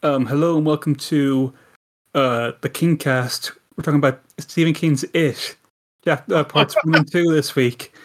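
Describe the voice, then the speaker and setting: A man's voice: a little high, unhurried. A man hosting a podcast in casual chat, wry and laid-back, with hesitant fillers. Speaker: podcast host.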